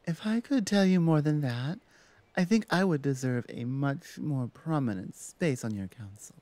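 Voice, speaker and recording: A woman's voice: low-pitched, animated, moderate pace, dark tone, no echo. A woman playing a teasing, devoted female orc shaman in an intimate, chatty ASMR roleplay, with playful, hesitant asides. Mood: playful, flirtatious. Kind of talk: ASMR roleplay, intimate one-sided conversation.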